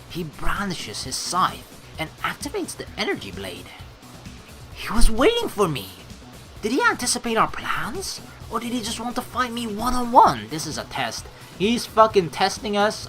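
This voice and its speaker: A man reading a game aloud as a let's play host, with excitable commentary and dramatic narration. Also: sits high.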